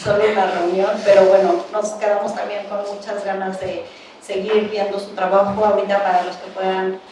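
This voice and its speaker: Woman moderator, polite wrap-up, mid pitch, distant in a booming room. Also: level tone, brisk, noisy background. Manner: polite, friendly. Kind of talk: closing remarks. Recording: echoey room, distant mic.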